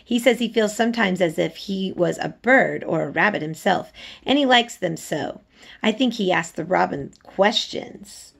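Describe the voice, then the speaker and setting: A woman voicing an earnest, outspoken young girl in a storybook, explaining things in an animated way. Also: mid-range voice, moderate pace, vocal fry, breathy edge. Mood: enthusiastic.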